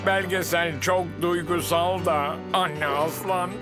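Weepy cartoon dad, crying, slow emotional talk, music behind. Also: male voice, high voice, steady tone. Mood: moved, tearful. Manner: tearful, emotional. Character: sentimental, tearful cartoon dad. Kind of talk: children's cartoon acting.

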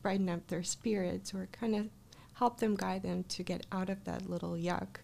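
A woman with a Russian accent and a noticeably creaky voice at a middling pitch. She talks casually about herself as a podcast guest, close to a studio mic. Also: little pitch movement, normal speed, darkish tone. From Russia, born in Kazakhstan. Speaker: life coach, podcast guest. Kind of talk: casual interview, telling personal story. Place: small podcast studio, indoors. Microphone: close studio microphone, headphones.

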